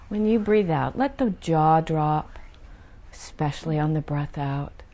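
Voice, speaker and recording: A woman guiding a meditation, giving soothing, gentle instructions with unhurried pauses between phrases. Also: sits low, lively intonation. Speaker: meditation teacher guiding a session. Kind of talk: guided meditation.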